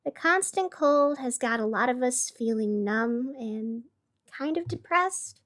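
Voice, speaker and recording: A woman's voice: high voice, expressive, measured pace, a bit bright. A woman playing a chatty, weary radio host of a gloomy fictional city, narrating in a conversational, dramatic, wry way. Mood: wry, downcast. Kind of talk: scripted fiction podcast narration as a radio broadcast.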